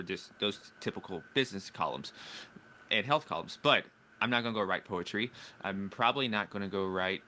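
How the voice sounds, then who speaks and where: Host: man, lowish voice with a very bright tone, easygoing and agreeable. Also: a little hiss or hum. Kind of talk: casual interview conversation.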